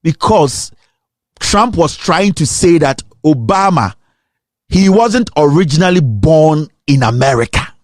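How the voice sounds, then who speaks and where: Animated high-pitched Ghanaian man, indignant. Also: unhurried, no room sound. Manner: indignant, emphatic, repeating rhetorical questions. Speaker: political commentator. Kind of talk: impassioned political monologue.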